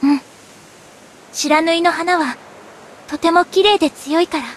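A woman playing a gentle, quiet teenage girl in an anime game, speaking in a reserved, sincere way. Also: extremely high pitch, a little slow, slight background noise. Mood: calm, solemn. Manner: soft-spoken, reserved, sincere.

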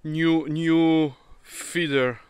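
Slow-talking beekeeper guy, english not his first language, lots of uhs, outdoors by hives with bees buzzing around. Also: on the high side, fairly even intonation, clear, resonant tone, dry recording. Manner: halting, many pauses and fillers. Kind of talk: hands-on demonstration. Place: outdoors by beehives in a grassy field. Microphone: handheld camera mic.

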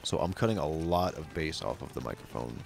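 A young man with a very deep voice casually commenting on his game, laid-back and swearing a lot. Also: expressive, a little fast, gravelly, over music. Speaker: game streamer. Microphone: studio mic with bass roll-off.

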